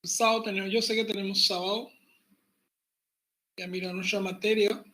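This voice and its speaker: A man teaching an online university class, relaxed and chatty, repeating his points and joking with the students. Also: high voice, low-quality recording. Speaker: university pathology lecturer. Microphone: computer mic on a video call.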